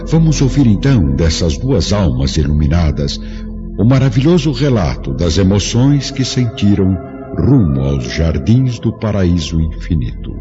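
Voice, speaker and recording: A man's voice: sits low, big pitch swings, unhurried. A man playing a reverent, grandiose narrator of sacred visions in a solemn, declamatory radio drama. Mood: grand reverence. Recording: muffled old radio drama, music behind.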